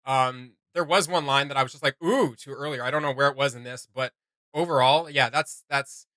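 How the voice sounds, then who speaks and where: Bright, dry-sounding man chatting casually. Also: medium pitch, brisk, dry recording. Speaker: reaction show host. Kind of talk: casual reaction chat and critique.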